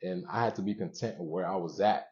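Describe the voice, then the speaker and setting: A young man hosting a motivational show, speaking to viewers in an earnest, conversational way with pauses between short phrases, on a dull recording. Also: sits low, a little mellow. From born in Haiti, moved around the US. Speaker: show host.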